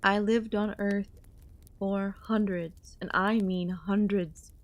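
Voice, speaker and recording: A woman voicing an ancient, possessive alien queen in an ASMR audio roleplay, speaking with soft, teasing menace and drawn-out pauses. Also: medium pitch, steady tone, no echo, natural voice. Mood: solemn, regal. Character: ancient yandere alien queen, last of her kind, condescendingly affectionate.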